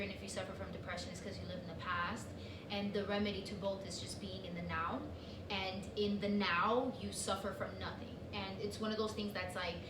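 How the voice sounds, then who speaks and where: A woman whose first language is Spanish, co-hosting a casual chat and talking with lots of fillers and restarts. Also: mid-range voice. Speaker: co-host. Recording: echoey room, distant mic, noisy.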